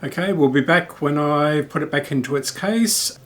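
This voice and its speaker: A man's voice: a little high, moderate pace, fairly bright tone, slight background noise. A middle-aged man giving casual repair commentary as a hobbyist host, thinking aloud in a hesitant, musing way.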